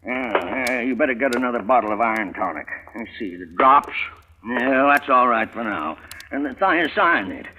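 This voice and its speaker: Cranky invalid uncle, sing-song fussy delivery, muffled radio with clear hiss. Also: male voice, medium pitch, animated, normal speed, bright, forceful tone. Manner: fussy, bossy. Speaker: actor. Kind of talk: 1940s radio acting. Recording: dull old radio broadcast with clear hiss.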